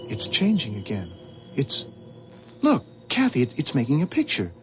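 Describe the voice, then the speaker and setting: An actor playing an earnest, anxious, ordinary husband in a 1970s radio drama, speaking a little hesitantly. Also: male voice, mid-range voice, wildly swooping pitch, normal speed, music in the background. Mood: awed. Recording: dull, hissy 1970s radio recording.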